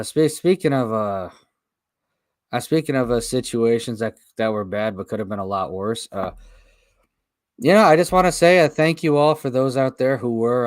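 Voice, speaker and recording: A man's voice: on the low side, clean tone, no room sound. A man hosting a casual radio talk show, telling stories with lots of fillers. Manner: animated storyteller with frequent fillers.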